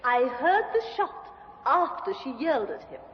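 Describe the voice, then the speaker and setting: Prim, nosy neighbor. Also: female voice, high voice, very animated, normal speed. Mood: indignant. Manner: prim and indignant. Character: eager, prim middle-aged neighbor on the witness stand. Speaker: witness. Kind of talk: 1950s radio drama acting. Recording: dull echoey old radio recording.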